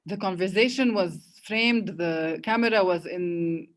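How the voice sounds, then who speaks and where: A woman's voice: lowish voice, measured pace. A woman hosting a casual online conversation, speaking reflectively with hesitations and restarts. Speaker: host.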